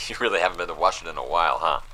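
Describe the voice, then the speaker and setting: Low, sardonic, grumbling young man, acted. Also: sharp tone, a little hiss or hum. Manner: dry, sarcastic, grumbling. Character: sardonic, weary, skeptical young man dragged into investigating. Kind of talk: audio drama dialogue.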